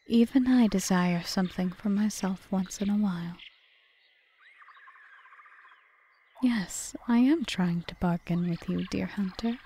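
A woman playing a calm, calculating forest witch in an audio roleplay, speaking in a measured, formal, often whispery way. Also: medium pitch, breathy edge. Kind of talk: ASMR roleplay monologue.

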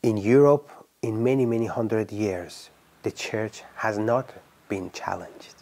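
A man from Iran, a pastor, giving his testimony in halting speech that trails off into near whispers at the ends of phrases. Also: on the low side, a little mellow. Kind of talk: testimony interview.

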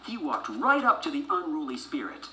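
A woman's voice: sits low, very animated, moderate pace, pushed and bright. A woman narrating a children's storybook from a script as a read-along. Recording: roomy sound with music behind.

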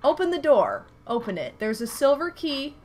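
A woman's voice: high voice, moderate pace. A young woman streaming a game from home, chatting casually with viewers, her nose stuffed from a recent flu. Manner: animated, exclaims at the game. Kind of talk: casual livestream chat while playing. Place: home room, indoors.

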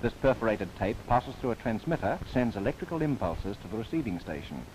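An old, slightly noisy 1930s film soundtrack carries a man's low-pitched narration. He delivers a formal documentary script with theatrical swings in pitch and a wry touch. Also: sing-song, fairly quick, a little mellow.

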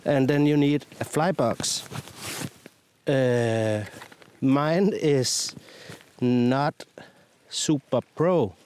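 A man in his thirties hosting a fishing vlog outdoors on a sea shore, talking in a relaxed, chatty, self-mocking way into a lapel mic. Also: medium pitch, drawn-out words, long pauses, a little hiss or hum. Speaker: fishing show host.

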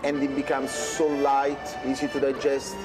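A man's voice: mid-range voice, completely flat delivery, moderate pace, over music. A man in his forties, a restaurant owner, explaining his food with enthusiasm in an interview. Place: restaurant dining room.

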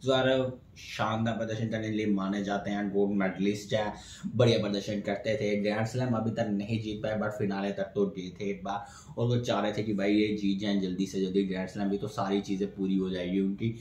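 A man's voice: on the low side, flat delivery, brisk, a little mellow. A young man giving chatty post-match tennis analysis to camera, talking to viewers as friends, from a small indoor room. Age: young adult. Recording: echoey room with faint background noise.

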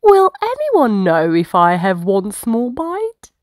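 A woman voicing an excitable young bear cub in a children's storybook, with dramatic, exclamatory delivery. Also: fairly high pitch, takes it slowly, no echo, studio quality, full character voice. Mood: excited. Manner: exclamatory, dramatic, breaking into whispers and squeals. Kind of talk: children's storybook read-aloud.

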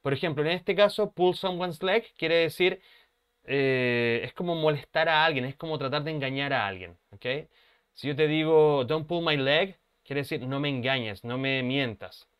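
A man's voice: a little high, normal speed, fairly bright tone, smooth. A man, teaching an online English class and checking in with students. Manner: explanatory, checks in with frequent "¿ok?" and "¿ya?". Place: home room, webcam.

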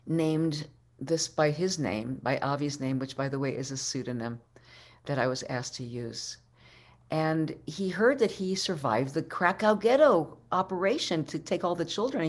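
A woman in her sixties giving a keynote talk as a storyteller, deliberate and dramatic, with pauses and repetition, speaking over a video call from home. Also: low voice. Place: living room at home, indoors. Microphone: webcam video call microphone.

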